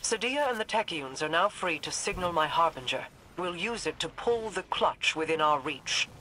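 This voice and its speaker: Crisp, bright, commanding queen. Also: lowish voice, expressive, moderate pace, a little hiss or hum, low-quality recording. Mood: commanding, satisfied. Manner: formal, stately, commanding. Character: regal, commanding queen, cool and assured. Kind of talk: scripted video game acting.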